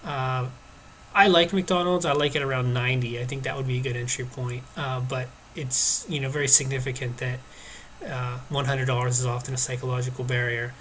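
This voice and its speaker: A man's voice: medium pitch, steady tone, a little fast, fairly bright tone. A man whose voice is stuffed up from allergies talks casually through stock charts, hesitating and restarting often. There is a faint hiss behind his voice. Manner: hesitant, many uhs and restarts. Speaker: stock market commentator. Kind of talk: casual screen-share chart commentary.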